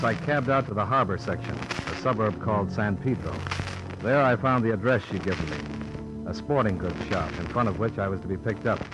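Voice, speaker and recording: A man's voice: lowish voice, close to an everyday voice, low-quality recording. A man playing a tough, wry American insurance investigator in a 1950s radio detective drama, acting and narrating.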